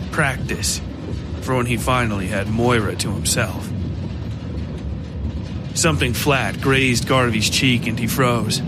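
A man reads scripted horror fiction as a dramatic narrator. His voice sits at a middling pitch, with music clearly running underneath. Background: music bed.

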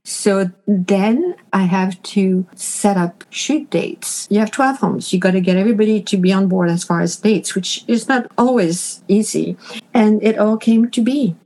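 A woman's voice: medium pitch, a little slow, rough recording. A middle-aged woman from France, an author telling stories about her work in loose, enthusiastic interview answers. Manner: relaxed, enthusiastic, loose rambling sentences. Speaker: author and stylist.

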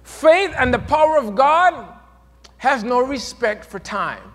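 A man preaching a sermon, moving from quiet, low passages to loud, emphatic peaks. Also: expressive, slow pace, big-room sound. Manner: swings from soft to shouted, rising to emphatic peaks.

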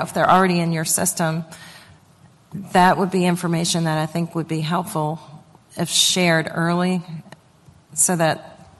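Council member, woman, low monotone, slow and searching, echoing room. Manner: slow, searching, thinking aloud. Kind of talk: remarks at a public meeting.

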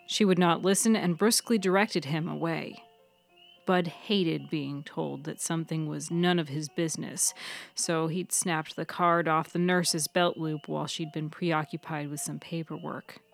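A woman reading a serial fiction story as its narrator, in measured, clearly phrased storytelling. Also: fairly low pitch. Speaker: author and narrator of a fiction podcast. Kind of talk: scripted audiobook-style story narration.

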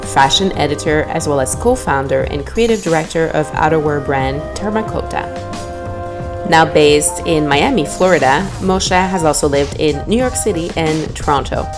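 A woman podcast host reading a polished, measured introduction and then asking questions. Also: low voice. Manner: polished, measured, brief backchannels. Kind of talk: scripted intro and interviewing.

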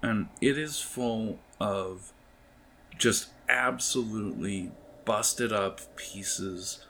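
A man narrating an improvised tabletop game as game master, in a halting, conversational way, speaking directly to his players. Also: lowish voice, creaky, fairly bright tone, a little hiss or hum, low-quality recording.

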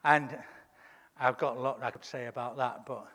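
A pastor preaching a sermon in a fervent way, his voice croaky from a cold. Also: medium pitch, fairly even intonation, normal speed, a bit bright, big-room sound. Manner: fervent preaching, repeats words when building up.

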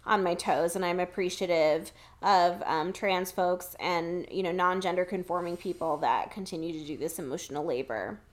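A woman's voice: lowish voice, steady tone, moderate pace, hardly any pauses. A woman co-hosting a podcast, chatting casually and informally about films, with some offhand swearing. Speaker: podcast co-host. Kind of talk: casual film discussion podcast chat.

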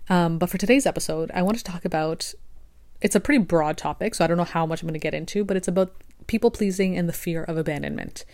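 Woman, podcast host, slightly raspy, lowish voice, reflective casual monologue. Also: quick pace, darkish tone. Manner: reflective, conversational, frequent fillers.

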